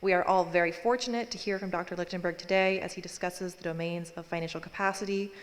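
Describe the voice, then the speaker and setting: A woman's voice: lowish voice, steady tone, fast. A woman, a postdoctoral researcher, reading a formal introduction of a speaker at a conference. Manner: reads a prepared bio steadily. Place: conference hall. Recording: long hall reverb.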